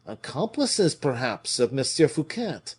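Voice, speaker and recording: A man's voice: medium pitch, sing-song, measured pace, slightly rough, voice pushed up. A man voicing a deferential, aggrieved royal clerk with a faltering, wheedling manner in an audiobook. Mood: insinuating. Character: obsequious, aggrieved male royal clerk, cautious schemer. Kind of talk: dramatic audiobook character reading.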